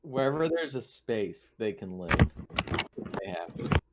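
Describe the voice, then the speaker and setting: A man's voice: fairly low pitch, sing-song, measured pace. A man chatting casually as a player in a tabletop game, with some noise behind him.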